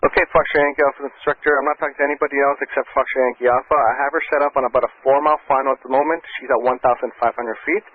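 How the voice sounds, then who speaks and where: A man working as an air traffic controller, giving calm, reassuring step-by-step instructions over aviation radio. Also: mid-range voice, very fast, hardly any pauses. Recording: muffled, band-limited aviation radio transmission.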